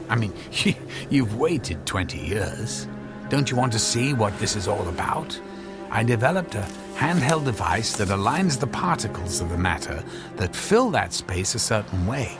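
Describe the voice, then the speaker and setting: An actor playing an obsessed, apologetic middle-aged scientist and husband in a radio drama, halting and repeating his words. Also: on the low side, big pitch swings, music in the background. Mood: eager, pleading.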